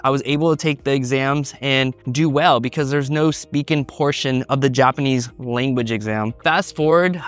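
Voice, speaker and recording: Man with a smooth, resonant voice. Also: medium pitch. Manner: relaxed, rambling storytelling with fillers. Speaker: language-learning vlogger. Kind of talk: reflective personal monologue.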